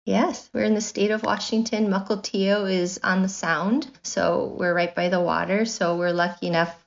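A woman's voice: medium pitch, fairly even intonation, moderate pace, vocal fry, lo-fi sound. A woman in her thirties from Illinois with a Midwest accent, chatting as an interview guest, often laughing and saying "like" and "you know". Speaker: guest, nature preschool founder. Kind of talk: casual interview.

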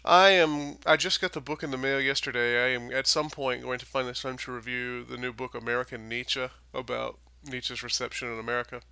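A man in his late twenties from Minnesota, co-hosting a podcast with wry, deadpan humor, a little hoarse after being sick. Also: medium pitch, a little fast, hardly any pauses, no echo. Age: late 20s. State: slightly hoarse. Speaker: podcast co-host. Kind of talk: casual chat.

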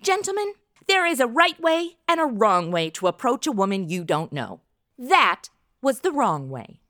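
Woman acting sassy, bright, high-pitched princess. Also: very animated, moderate pace, dry recording, studio quality. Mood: sarcastic. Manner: sarcastic, slangy. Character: sassy, entitled, brash young modern princess. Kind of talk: comic radio drama.

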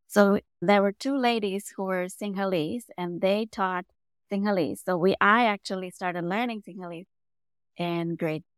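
A middle-aged woman from Sri Lanka with a Sri Lankan accent, a native Tamil speaker, telling her story as an interview guest and growing animated as she goes. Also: clear, resonant tone, dry recording. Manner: animated, rising in pitch and volume when telling a story. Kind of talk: conversational storytelling.

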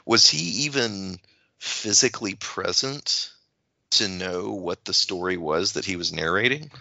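A man in casual analytical discussion as a podcast panelist, thoughtful and halting as he works out his points. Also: deep voice, gravelly, sharp tone, no room sound, muffled recording.

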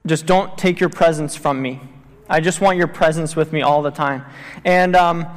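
High-voiced missionary man in a hall. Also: steady tone, moderate pace. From Tanzania. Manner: earnest, with many fillers. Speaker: missionary speaking to a church. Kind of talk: church missions talk. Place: church sanctuary. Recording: long church hall reverb.

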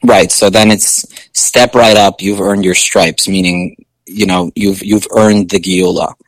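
A male singer with a low speaking voice, chatting casually in an interview with many fillers and restarts. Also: fairly even intonation, a little slow, no echo, low-quality recording.

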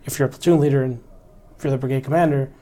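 A man's voice: medium pitch, fairly even intonation, very fast, warm tone. A man in his forties, an army officer giving an interview, talking earnestly and instructively about the lessons he has learned. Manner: earnest, instructive, stresses fundamentals. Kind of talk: reflective interview talk.

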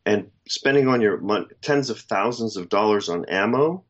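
An American man giving casual, opinionated political commentary as a co-host, speaking deliberately with rhetorical questions, on slightly dull audio. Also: on the low side, lively intonation. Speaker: talk show co-host.

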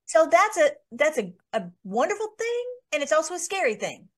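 Woman teaching a Bible study, fairly high voice, rambling, lots of restarts. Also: big pitch swings, fairly quick. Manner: rambling, with frequent restarts and fillers.

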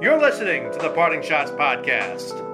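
A man's voice: fairly high pitch, huge pitch swings, moderate pace, over music. A man hosting a sports podcast, interviewing guests in a conversational style.

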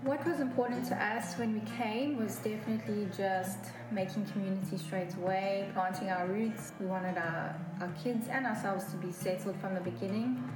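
A woman's voice: medium pitch, steady tone, moderate pace, few pauses. A woman speaking plainly about finding community and church. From South Africa. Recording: echoey room with music.

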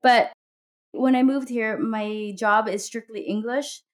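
A woman's voice: a little high, fairly even intonation, slow pace. A woman interviewing a guest in casual chat, friendly, laughing often and trailing off mid-sentence.

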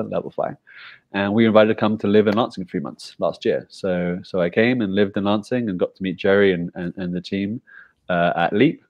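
British startup founder, deep voice, brisk and eager explainer, dry video-call audio. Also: male voice, darkish tone, no echo. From Britain. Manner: explains eagerly with rhetorical "right?" checks. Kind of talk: casual video call interview.

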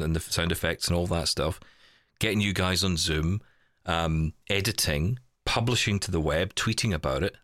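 A man hosting a podcast, talking in a casual, chatty and rambling way with animated storytelling. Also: deep voice, no echo, clean studio sound. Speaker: podcast host and producer.

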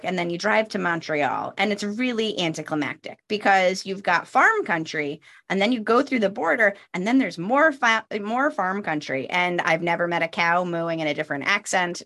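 A woman's voice: lowish voice, fairly bright tone. A woman in her thirties hosting a podcast, chatting casually and laughing often, with self-deprecating asides. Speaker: podcast host. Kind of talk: casual chat.

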